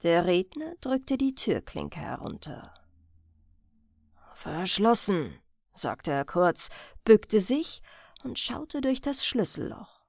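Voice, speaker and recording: Slow-paced, expressive woman reading a crime story. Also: mid-range voice, creaky, no echo, studio quality. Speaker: audiobook narrator. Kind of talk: scripted crime story narration.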